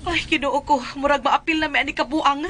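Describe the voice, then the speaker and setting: A woman's voice: high voice, steady tone, moderate pace, pushed and bright. A woman playing an exasperated adult daughter with a Cebuano accent in a comic radio drama. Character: exasperated adult daughter of a dying-obsessed father.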